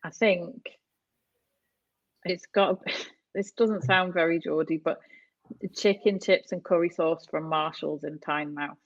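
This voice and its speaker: A woman's voice: on the low side, fairly even intonation, takes it slowly, dry recording, dull audio. A woman from North West England chatting casually as a guest on a podcast. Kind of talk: casual interview chat.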